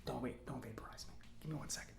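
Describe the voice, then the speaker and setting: A man playing a friendly, nerdy, chatty robot mechanic in a soft-spoken ASMR roleplay, breathy and rambling with casual hesitations. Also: mid-range voice, fast, reverberant, noisy background. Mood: geeky, easygoing. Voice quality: soft, breathy, often near whisper. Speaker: repair shop mechanic character.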